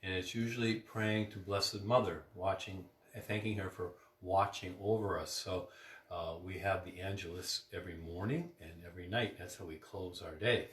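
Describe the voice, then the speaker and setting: A man's voice: low voice, steady tone, moderate pace. A man hosting as a priest, teaching in an informal, conversational way with plain, simple explanations. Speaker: priest, storytime host. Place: inside a church, roomy. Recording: echoey room, distant mic, loud music behind.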